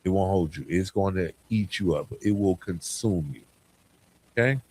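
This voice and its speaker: A man with a fairly low voice talks straight to his podcast listeners. He is earnest and motivational, posing rhetorical questions and repeating phrases to drive them home. The delivery is conversational, spoken close to the mic. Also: normal speed, a little mellow, breathy edge. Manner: conversational, frequent rhetorical questions and repetition for emphasis. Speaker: podcast host. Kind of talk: reflective solo podcast talk. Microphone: close to the microphone.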